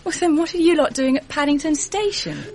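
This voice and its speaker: Breezy, high-voiced young Englishwoman. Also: very animated, a little fast, darkish tone. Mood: cheeky, blunt. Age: young adult. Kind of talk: 1980s British radio drama acting.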